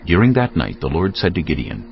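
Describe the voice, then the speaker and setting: A man's voice: low voice, big pitch swings, brisk, gravelly, slight background noise. A man narrating a dramatised audio Bible in a scripted, dramatic storytelling style. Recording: muffled audio under music.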